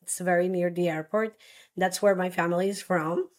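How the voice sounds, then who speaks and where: A woman from Costa Rica in her thirties, telling her personal story as a guest in a halting, earnest way with many restarts. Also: on the low side, fairly even intonation.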